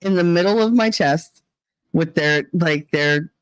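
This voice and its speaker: Deep-voiced middle-aged woman, dry close mic. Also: measured pace, smooth, no room sound. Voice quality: deep, chesty voice. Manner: chatty and profane, rambling with many fillers. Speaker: livestream host. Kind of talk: casual chat, reading viewer comments.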